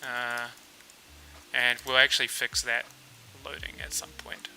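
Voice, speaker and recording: A man's voice: mid-range voice, a little slow, gravelly, low-quality recording. A man from New Zealand with a New Zealand accent hosting a casual live coding stream, thinking aloud with plenty of fillers as he works. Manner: relaxed, thinks aloud with fillers while working. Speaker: developer livestream host.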